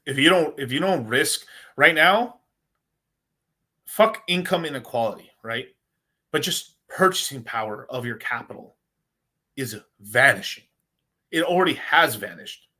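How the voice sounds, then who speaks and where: Bright-voiced rambling guy. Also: medium pitch, expressive, a little slow, pauses often, slightly rough. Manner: rambling, slangy, says "bro" a lot. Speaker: crypto livestream host. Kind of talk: casual livestream chat.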